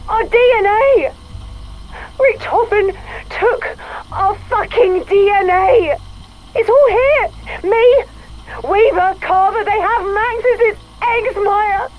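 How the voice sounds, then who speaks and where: A woman's voice: very high voice, slow pace, slight background noise, low-quality recording. An actress playing a furious, foul-mouthed, anguished woman in a video game drama.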